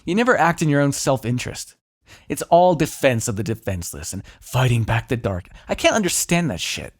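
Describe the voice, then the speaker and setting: A man's voice: mid-range voice, big pitch swings, fairly quick, dry recording, studio quality. A man playing a smug, teasing, grudgingly fond vampire in an intimate ASMR roleplay, talking in a sardonic, conversational way. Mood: frustrated, bitter.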